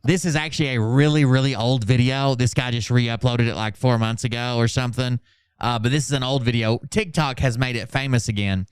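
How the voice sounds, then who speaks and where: Smooth-voiced, sardonic man hosting a stream. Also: mid-range voice, steady tone, fairly quick, no echo. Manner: mocking, sardonic, profane. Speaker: host of a commentary livestream. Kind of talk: sarcastic reaction commentary with mock-dramatic readings.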